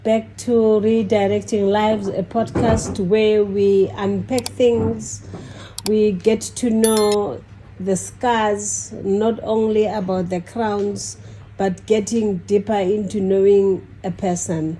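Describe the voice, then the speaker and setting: A woman hosting a podcast, speaking slowly and steadily with frequent short acknowledgments. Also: medium pitch, little pitch movement, mellow, a little hiss or hum, poor audio. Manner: slow, measured, frequent "okay" backchannels. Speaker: podcast host. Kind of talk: podcast interview.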